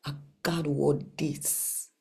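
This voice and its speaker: A woman with a Jamaican accent speaking deliberately as a host and scripture reader. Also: low voice, level tone, vocal fry, darkish tone, soft background music. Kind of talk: channel talk and expressive Bible reading.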